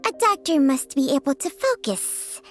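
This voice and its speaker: A woman's voice: high-pitched, expressive, normal speed. A woman voicing a shrewd, mature snake companion in a video game, composed, dry and formal. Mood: firm, formal. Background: background music.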